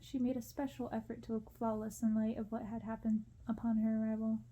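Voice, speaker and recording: A woman narrating a storybook in ASMR style, gentle, hushed and unhurried. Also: fairly high pitch, flat delivery. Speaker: ASMR creator reading a novel.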